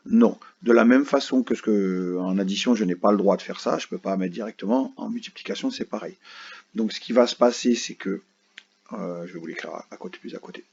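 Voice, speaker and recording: A man's voice: lowish voice, brisk, vocal fry, darkish tone, slightly rough. A male teacher patiently explaining a math lesson to children step by step, often checking that they follow. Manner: patient, explains step by step, keeps asking "d'accord?". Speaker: primary school teacher. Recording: dull lo-fi recording.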